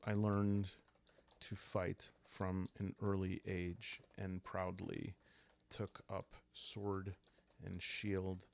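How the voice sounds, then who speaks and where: A proud medieval knight, played by a man, recounts his crusade for a journaling roleplay. The voice is deep and crackling with fry, solemn and very unhurried. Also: completely flat delivery, darkish tone, exaggerated voice.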